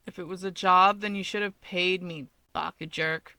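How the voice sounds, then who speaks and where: A woman voicing a sardonic, aloof young man with a deadpan, drawling manner in a story reading. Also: lowish voice, moderate pace, no echo. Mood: dry, needling.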